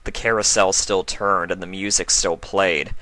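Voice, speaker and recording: Man, very low voice, forceful bright tone, dramatic scripted narration, story reader. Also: fairly even intonation, normal speed. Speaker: narrator, fan fiction reader.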